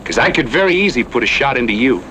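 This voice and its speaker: Cocky drawling Western gunslinger, noisy recording. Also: male voice, medium pitch, expressive, fast, pushed and bright. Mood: taunting, incredulous. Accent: American Western drawl. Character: cocky, easygoing Western gunslinger, amused and fatalistic. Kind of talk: Western radio drama acting. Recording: noisy old radio drama recording.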